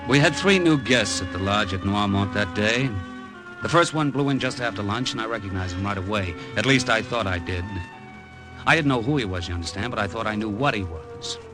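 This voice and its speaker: An actor playing a wry, easygoing middle-aged American gambler, speaking in a laconic 1950s radio drama style. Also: male voice, lowish voice, lively intonation, brisk, over music, close to an everyday voice, low-quality recording. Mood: wry narration. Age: 40s. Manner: laconic, breezy, conversational. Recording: vintage radio drama recording.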